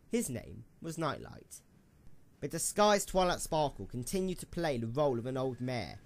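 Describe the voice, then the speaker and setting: A man reading scripted story narration for an amateur radio play in a dramatic storytelling style. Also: a little high.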